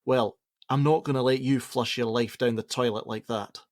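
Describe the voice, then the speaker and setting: A prickly Scottish father in middle age as a man acts him in a radio play, blunt and quick to argue. His sarcasm is stern, in an ordinary mid-pitched voice recorded dry. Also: fairly even intonation, a little mellow, no echo, close to an everyday voice. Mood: angry, unyielding. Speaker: actor.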